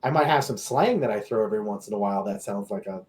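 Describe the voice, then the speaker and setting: A man's voice: on the low side, expressive, fast talker, darkish tone. A young man from Colorado, a podcast guest and streamer, talking in a laid-back, casual way with some swearing. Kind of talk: casual chat.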